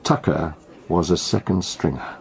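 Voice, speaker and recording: A British actor playing a shrewd, measured amateur detective in a radio drama, dry and probing as he questions and corrects. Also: low-pitched, vocal fry, darkish tone. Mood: wry, narrating.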